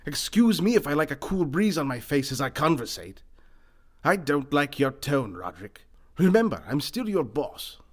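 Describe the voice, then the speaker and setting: A pompous, wealthy eccentric gentleman played by a man, pitched fairly high. He speaks formally and theatrically, like the lord of the house in a comic radio mystery. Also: very animated, normal speed. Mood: haughty, indignant. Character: pompous wealthy eccentric businessman and hunter, male. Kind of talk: comedic old-time radio mystery acting.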